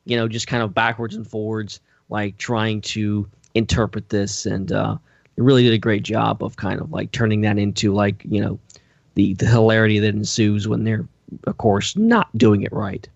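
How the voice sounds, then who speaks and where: A man's voice: lowish voice, fairly even intonation, moderate pace, creaky, no echo, lo-fi sound. Podcast guy, middle voice, lots of likes and you knows, dullish dry mic. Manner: hesitant, many fillers like "like" and "you know". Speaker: podcast co-host. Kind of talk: casual film discussion.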